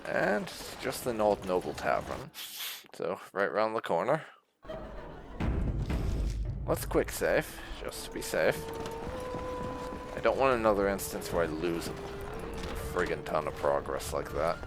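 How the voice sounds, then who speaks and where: Slow, low-voiced man doing gaming commentary. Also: big pitch swings. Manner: unhurried, musing aloud. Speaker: gaming channel host. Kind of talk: casual let's play commentary. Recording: game music behind the voice.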